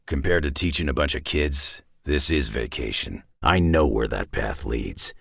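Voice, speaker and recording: An actor playing a gruff, battle-worn older male mutant warrior in a video game, with a raspy, gravelly voice and dry, sardonic, clipped delivery. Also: a bass voice, a little slow, fairly bright tone, dry recording, heavily acted. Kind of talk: video game character voice lines.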